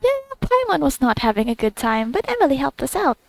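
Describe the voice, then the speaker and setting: A woman's voice: high voice, sing-song, fairly quick, gravelly, no room sound. A woman giving casual let's play commentary, reacting to a game story in a giggly, gushing, think-aloud way. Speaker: gamer reacting to a story quest. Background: faint game music.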